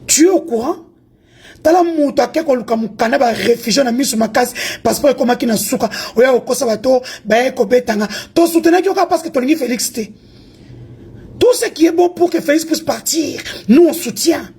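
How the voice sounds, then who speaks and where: High-voiced woman ranting defiantly. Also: animated, fairly quick, warm tone, husky. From Congo (DRC). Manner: confrontational, taunting, mixes French and Lingala. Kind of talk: heated online rant.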